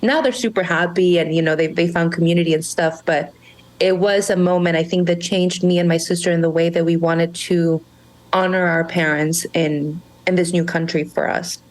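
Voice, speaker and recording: A young woman with a somewhat low voice speaks as a guest on a podcast. She is earnest and reflective, often stopping to restart her sentences and filling pauses with "um" and "you know.". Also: fairly even intonation. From Monterrey, Mexico. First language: Spanish. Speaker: guest, brand founder. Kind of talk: casual podcast interview.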